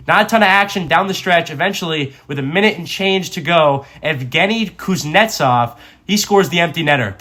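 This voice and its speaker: A man's voice: fairly high pitch, fairly bright tone. A man working as a sports reporter, narrating a scripted hockey game recap.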